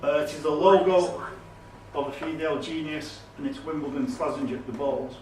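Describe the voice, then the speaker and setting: A man's voice: a little high, steady tone, moderate pace, darkish tone. A man acting as quizmaster, reading questions aloud and ruling on the answers. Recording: roomy, distant, noisy recording.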